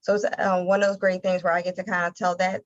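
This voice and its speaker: American woman with narrow, even intonation. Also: on the low side, fast. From Philadelphia, United States. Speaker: interview guest, nonprofit communications director. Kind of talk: conversational interview answers.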